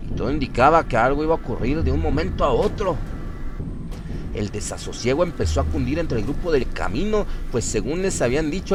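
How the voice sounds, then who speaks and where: A man narrating a scripted horror story for radio in a dramatic style. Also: medium pitch. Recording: clear ambient noise behind voice. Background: ambient sound effects bed.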